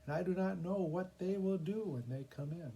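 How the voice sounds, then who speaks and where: A man putting on a high-pitched, emphatic storybook woman. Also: moderate pace, creaky, warm tone, husky, highs cut off, full character voice. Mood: wry. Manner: emphatic, exclamatory. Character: harried cave woman, exasperated yet grateful.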